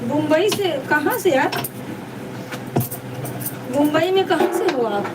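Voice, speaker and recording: A woman's voice: sits high, expressive, slow pace. A woman hosting a casual live stream, chatting repetitively with viewers and addressing them as sisters. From Deoria district, India. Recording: distant mic, noisy background.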